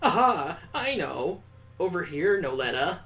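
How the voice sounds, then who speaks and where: A man's voice: on the high side, sing-song, measured pace, bright, forceful tone, heavily acted. A man voicing a bossy, nurturing big-sister girl from a visual novel in an emphatic, instructing way. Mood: cheerful, instructing.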